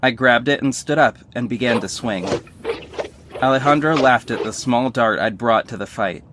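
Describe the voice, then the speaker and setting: Superhero lead for a male actor: first-person audio drama narration, medium-pitched, wry, sardonic, earnest storyteller. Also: normal speed, noisy background, close to an everyday voice. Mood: tense recounting. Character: sardonic young male superhero narrating his own fight.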